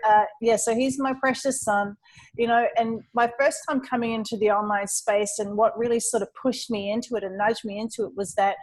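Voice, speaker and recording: A woman's voice: mid-range voice, rough recording. Upbeat New Zealand woman telling her story. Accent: New Zealand, leaning Australian. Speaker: online entrepreneur and webinar co-host. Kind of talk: personal story in a webinar.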